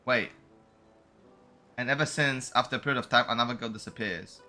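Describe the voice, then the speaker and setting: Bright-voiced young man, casual game commentary. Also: mid-range voice, fairly quick, soft background music. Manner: informal, slangy, musing aloud. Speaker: game streamer. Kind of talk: casual gameplay commentary, reading dialogue aloud.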